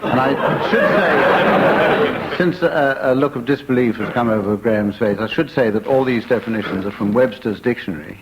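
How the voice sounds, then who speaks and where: A man's voice: medium pitch, moderate pace, over a noisy crowd. A man hosting a comedy panel game on radio, wordy and mock-formal in his delivery. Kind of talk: dry comic hosting of a radio panel show. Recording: noisy old live radio recording.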